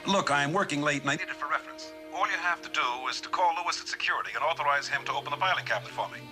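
Quick, insistent researcher. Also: male voice, on the high side, sharp tone, faint music behind. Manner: urgent, persistent. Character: eager, insistent research colleague, a man. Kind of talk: mid-century American television drama acting.

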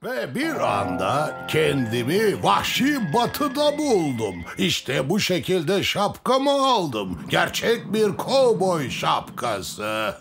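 Slow, animated cowboy mentor. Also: male voice, fairly high pitch, creaky, heavily acted. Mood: proud, patient. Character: patient, folksy cowboy mentor vehicle in cartoon. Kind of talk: children's cartoon dub. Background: cartoon music.

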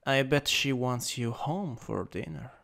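A man with an Italian accent improvising ASMR as a teasing, domineering older stepbrother, in a halting, intimate, breathy voice that often drops to a whisper. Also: medium pitch, a bit bright. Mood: soft, teasing. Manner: slow, intimate, halting delivery. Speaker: ASMR roleplay performer. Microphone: close USB microphone.

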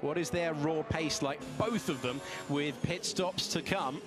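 Male race commentator, slightly high voice, live motorsport call over loud music. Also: a little slow.

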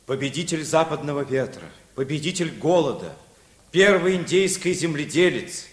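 An actor playing a noble, solemn legendary Native hero, declaiming poetically in a mid-century Soviet children's radio play. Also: male voice, a little high, a bit bright. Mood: proud, stately.

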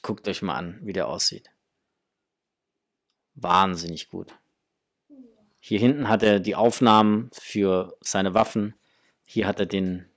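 A man, chatting informally and enthusiastically as he presents a casual unboxing review at home. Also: fairly low pitch. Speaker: toy review channel host. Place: small home room, indoors.

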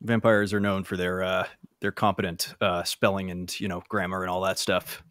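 A man reading aloud casually and haltingly on a live comedy podcast. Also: sits low, level tone, a bit bright, no echo, studio quality. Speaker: podcast panelist and reader.

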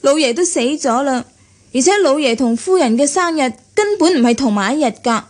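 High-voiced earnest young nurse. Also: female voice, animated, a little fast. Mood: curious. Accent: Hong Kong Cantonese. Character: earnest young female private nurse, curious but firm. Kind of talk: radio drama acting.

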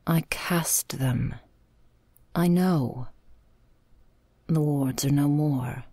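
Gothic audio roleplay: actress as imperious female vampire, low breathy voice, extremely slow, archaic and weary. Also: warm tone, no room sound, heavily acted. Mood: grave. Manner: formal, archaic, halting.

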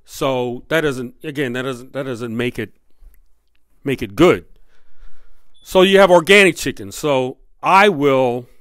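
A man hosting a video, giving unscripted commentary in a halting way, repeating and stressing his points. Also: medium pitch, fairly bright tone. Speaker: video host.

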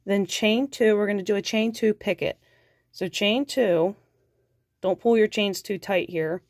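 A woman's voice: mid-range voice, measured pace, no room sound, studio quality. Nasal woman with a cold teaching crochet. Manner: calm, patient, counts stitches aloud. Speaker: crochet instructor. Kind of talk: step-by-step craft tutorial.

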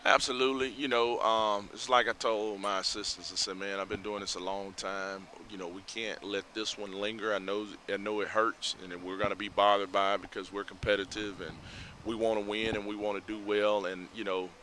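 A middle-aged man talks things over in a low, forceful voice, pausing often with fillers. He speaks into a headset mic outdoors at night, with faint outdoor sound behind him. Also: flat delivery, slight background noise. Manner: measured, reflective, many fillers. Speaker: head football coach. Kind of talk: postgame interview. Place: outdoors by a school building at night.

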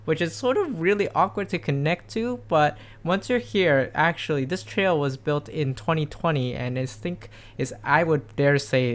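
A young man vlogging while cycling on an outdoor trail, talking into a handlebar camera in a rambling, upbeat way with many fillers and restarts. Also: fairly high pitch. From Seattle area. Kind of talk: casual narration while riding. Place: outdoors on a bike trail, riding. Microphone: camera mounted on bike handlebars.

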